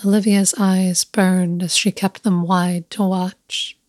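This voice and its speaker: A woman narrates a literary tale with slow, deliberate phrasing. Her delivery is hushed and stays within a narrow, even range of pitch. The recording is dry, with almost no room around the voice. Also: dry recording. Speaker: story narrator. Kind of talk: scripted literary story narration.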